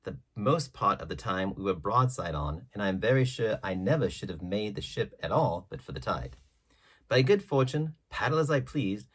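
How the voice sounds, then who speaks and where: Husky-voiced man narrating an adventure story. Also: medium pitch. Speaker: audiobook reader.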